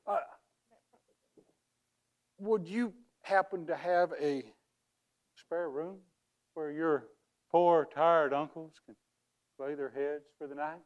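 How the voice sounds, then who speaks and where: Pompous, slow, high-voiced pious swindler. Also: male voice, muffled recording. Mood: smug, ingratiating. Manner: pompous, theatrical, drawn-out oratory. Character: smarmy male con man posing as a pious grieving English uncle. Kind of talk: dramatic acting in a literary comedy.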